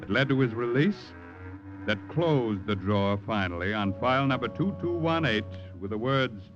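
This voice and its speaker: A man's voice: medium pitch, animated, normal speed. A man delivering dramatic scripted narration in the style of 1940s radio. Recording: dull vintage radio broadcast, music behind.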